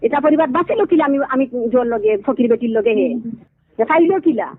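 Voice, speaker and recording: A woman's voice: high voice, expressive, normal speed. A middle-aged woman with a Sylheti accent, venting in an aggrieved, repetitive way during a heated family phone conversation. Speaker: caller. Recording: tinny, phone-like call recording.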